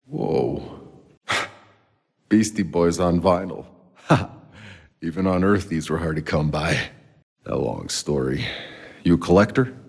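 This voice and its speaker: An actor playing a weary, cynical, foul-mouthed sci-fi soldier for a video game, with a gruff, sardonic, laconic delivery and a gravelly, husky voice. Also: male voice, low-pitched, expressive, slow pace, pauses often, long reverb tail, full character voice. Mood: gruff, casual.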